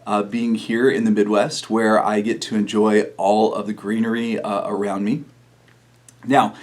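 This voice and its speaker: Middle-aged male pastor with a low voice, relaxed storytelling with fillers, for a video sermon. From New Mexico, USA.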